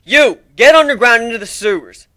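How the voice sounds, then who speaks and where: Man voicing a brash, forceful fantasy warrior leader. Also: very high voice, a little fast, no echo, voice pushed up. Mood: shouting, fierce. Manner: commanding, mocking, shouts orders.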